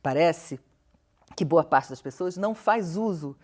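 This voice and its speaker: A woman with a Brazilian accent and a notably low voice speaks as an academic being interviewed. She thinks aloud in an articulate, questioning manner and stresses her key words as she explains. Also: lively intonation, a little slow, darkish tone. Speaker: interview guest, professor and researcher. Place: television studio interview.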